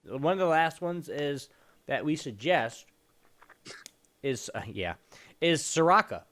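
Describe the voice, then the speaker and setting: A man's voice: fairly high pitch, lively intonation, slow, a bit bright. A man co-hosting a gaming show, talking casually in an opinionated, slangy way.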